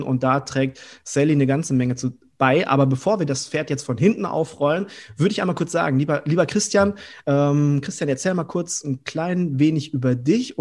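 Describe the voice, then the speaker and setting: A man's voice: fairly high pitch, a little mellow. A man hosting a sponsored podcast on hospitality, talking in an upbeat, colloquial way. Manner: upbeat, colloquial, uses casual emphasis. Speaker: podcast host.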